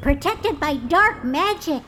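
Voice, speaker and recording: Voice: very high voice, expressive, takes it slowly, noisy background, exaggerated voice. A performer voicing a blunt, easily flustered little fairy who blurts out her thoughts like a child. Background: music.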